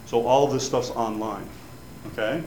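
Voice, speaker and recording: A man's voice: medium pitch, measured pace, a little mellow. A man born in Cuba, a native Spanish speaker, talking informally to students about a grant program, chatty and rambling with many fillers and restarts. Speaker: advisor giving an info session. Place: room with an audience. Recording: echoey room, faint background noise.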